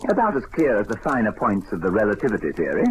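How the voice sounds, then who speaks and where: An actor playing a cool, wry detective in an old-time radio mystery, speaking in a dry, urbane way. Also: male voice, lowish voice, sing-song, fast talker. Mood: dry, amused. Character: suave wry male detective adventurer, cool and unflappable. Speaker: lead actor and narrator. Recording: noisy old radio recording.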